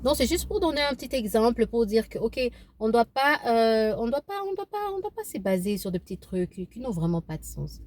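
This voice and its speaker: A woman's voice: fairly high pitch, fairly quick, few pauses. Chatty woman vlogging from her car. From eastern Congo (DRC). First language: Kibembe. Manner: animated, repeats herself, frequent fillers and restarts. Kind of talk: casual opinion vlog. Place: inside a parked car.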